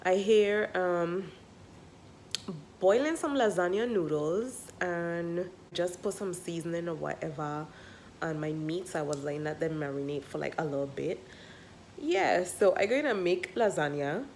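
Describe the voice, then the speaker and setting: Woman, 30s, low register, congested with a cold; chatty, filler-heavy vlogger talking to a phone at arm's length. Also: a little slow, darkish tone, slight background noise. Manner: chatty, rambling, with many fillers. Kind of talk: casual vlog chat to camera. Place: indoors at home, then in a parked car. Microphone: phone camera at arm's length.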